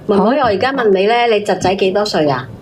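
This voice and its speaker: A woman's voice: mid-range voice, animated, fast talker, a little hiss or hum. A woman with a Hong Kong Cantonese accent lecturing emphatically as a call-in participant. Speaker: call-in participant giving advice. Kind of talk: unscripted call-in argument.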